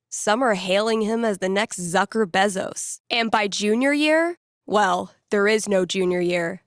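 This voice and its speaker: A woman acting as a young American radio DJ and playful fortune teller in a video game. Her voice sits at a medium female pitch, delivered in a wry, casual, teasing way. The sound is close and dry, with no room around it. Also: fairly bright tone, dry recording, natural voice.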